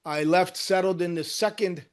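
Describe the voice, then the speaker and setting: A man in his sixties, born in Cuba and raised in New Jersey, telling his life story as a guest surgeon in a casual interview, lively and often trailing off into quiet asides. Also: sits high, moderate pace. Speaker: guest, orthopedic hand surgeon.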